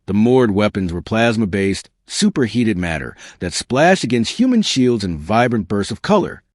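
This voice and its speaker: A man reading a scripted science fiction story as an audiobook narrator, in a measured, dramatic storytelling delivery. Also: fairly low pitch, sing-song, dry recording, clean studio sound.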